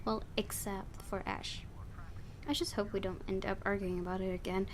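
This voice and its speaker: A woman reading a visual novel game aloud in an expressive storytelling style, with her own asides. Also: medium pitch, moderate pace, slight background noise.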